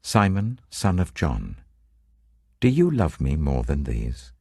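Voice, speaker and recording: Deep, husky British Jesus, as read by a man. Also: big pitch swings, slow pace, mellow, dry recording, clean studio sound, heavily acted. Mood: tender, searching. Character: Jesus, calm authoritative gentle male teacher.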